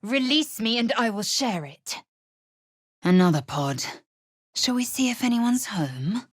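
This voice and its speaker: A woman playing a fierce, haughty alien warrior in a video game, with a husky, raspy voice and clipped, imperious, formal delivery. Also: fairly high pitch, animated, a little slow, no echo, clean studio sound. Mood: cold, wary. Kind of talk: video game character acting.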